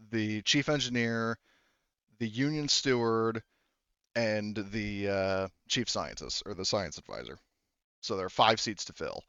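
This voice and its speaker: A man with a low, smooth voice works as a tabletop game master. He explains in a halting, conversational way, trailing into asides as he thinks aloud. The sound is close and dry, slightly dull on a lo-fi microphone. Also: a bit bright, no room sound.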